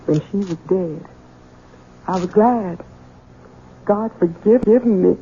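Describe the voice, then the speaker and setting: A woman's voice: medium pitch, slow pace, mellow, slight background noise. An actress playing a long-suffering, bitter and fearful servant woman in a 1970s radio drama. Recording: muffled, narrow vintage radio broadcast.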